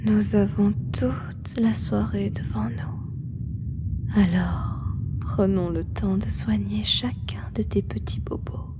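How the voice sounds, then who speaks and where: A woman plays a caring monster-girl nurse in roleplay ASMR. She speaks slowly at a medium pitch, often in a whisper. Her manner is hushed, soothing and tenderly doting. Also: flat delivery, close to an everyday voice. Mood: tender, attentive.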